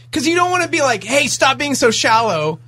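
A man co-hosting a casual podcast, animated and jokey. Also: very high voice. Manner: animated, jokey, quick to exaggerate. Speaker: podcast co-host. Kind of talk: casual chat.

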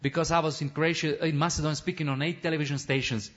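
A man who is a missionary pastor, preaching an impassioned testimony sermon in non-native English, building to shouts, with frequent restarts. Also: a little high, steady tone, fast talker. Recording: dull lo-fi recording.

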